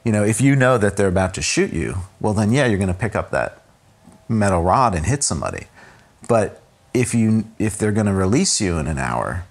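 A man telling the story of his own kidnapping in a conversation, halting and repeating himself with many fillers as he thinks aloud. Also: fairly low pitch, big pitch swings. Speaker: interview guest recounting a kidnapping.

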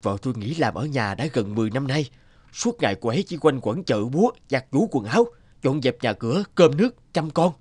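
Smug, blustering cartoon boss. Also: male voice, medium pitch, very animated, brisk, a little mellow, slightly rough, no echo. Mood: incredulous. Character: smug, suspicious middle-aged philandering company boss. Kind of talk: cartoon dialogue.

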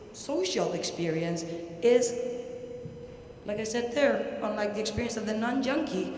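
A woman's voice: a little high, darkish tone. Puerto Rican woman lecturing in an echoey hall. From Puerto Rico. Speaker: researcher presenting at an academic conference. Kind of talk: academic lecture. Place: large indoor university hall, at a podium. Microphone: podium microphone. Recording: very echoey hall, audible background noise.

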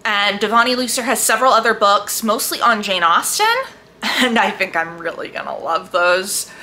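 A woman giving a casual, chatty book review to viewers in an animated, gushing, emphatic way. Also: medium pitch, normal speed. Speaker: book vlogger.

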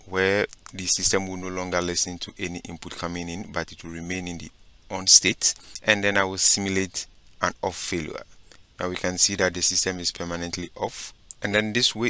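A man giving a step-by-step software tutorial, narrating his screen and often saying "okay" and "so basically". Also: deep voice, clean tone. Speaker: instructor.

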